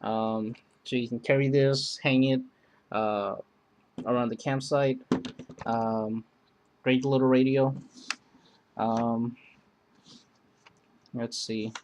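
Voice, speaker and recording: A man casually demonstrating and comparing two emergency radios, rambling with many pauses and fillers. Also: mid-range voice, steady tone, noisy background. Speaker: product reviewer. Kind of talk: casual product demo.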